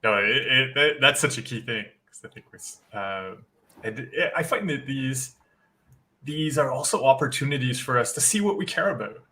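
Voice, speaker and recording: A man's voice: mid-range voice, animated, normal speed, sharp tone. A man who is a guest on a live chat, explaining his ideas in a reflective, encouraging way.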